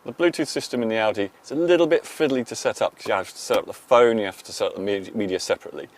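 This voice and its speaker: A man's voice: on the low side, racing, faint music behind. A man, a technology journalist giving an enthusiastic, informal review of a car's infotainment system. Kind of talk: product review to camera.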